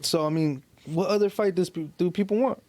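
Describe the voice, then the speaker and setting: A man's voice: high voice, fairly quick, darkish tone. A man co-hosting a podcast in casual, slangy conversation with plenty of swearing. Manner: slangy, profane. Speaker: podcast co-host. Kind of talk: casual chat.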